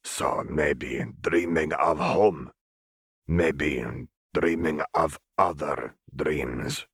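A huge, cryptic fish-folk cook, rumbling out halting, broken phrases. His voice sits extremely low and gravelly, with a husky edge, and each word drags out very slowly. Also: fairly even intonation, no room sound, clean studio sound, exaggerated voice. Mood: calm, cryptic.

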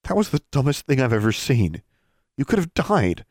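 A man's voice: mid-range voice, sing-song, normal speed, a little mellow, no room sound, clean studio sound, voice pushed up. A man doing a blunt, exasperated teenage boy.

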